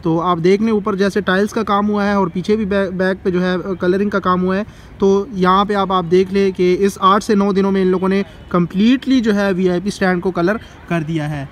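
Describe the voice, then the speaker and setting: High-voiced Pakistani man touring a site. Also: fairly even intonation, moderate pace, a little hiss or hum. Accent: Pakistani Urdu. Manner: chatty and enthusiastic, repeats "bhai" and "dekh sakte hain". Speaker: vlogger. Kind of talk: walking tour commentary. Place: outdoor stadium construction site. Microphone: phone camera at arm's length.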